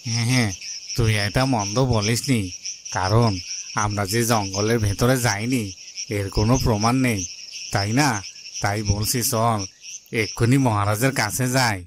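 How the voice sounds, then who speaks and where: A man's voice: lowish voice, steady tone, normal speed, long pauses, lightly acted. A man playing a wary, self-protecting royal guard who grumbles, in a fairy-tale cartoon. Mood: conspiring. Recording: noisy, cricket and insect sounds behind.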